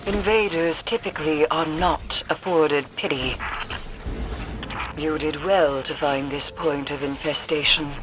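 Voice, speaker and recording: A woman's voice: low-pitched, steady tone, measured pace, background noise. An actress playing a grave, ominous mystic woman in a video game, speaking in a formal, cryptic, solemn manner. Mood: grave, foreboding. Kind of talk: dramatic video game acting. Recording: music behind the voice.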